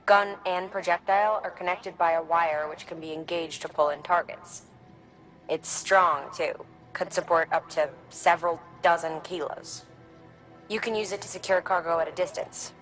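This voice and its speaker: Gadget briefer for a game, woman actor: very low pitch, practical, matter-of-fact delivery. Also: steady tone, moderate pace, fairly bright tone, soft background music, natural voice, rough recording. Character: calm, practical woman explaining gadgets to a courier. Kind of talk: video game equipment briefing.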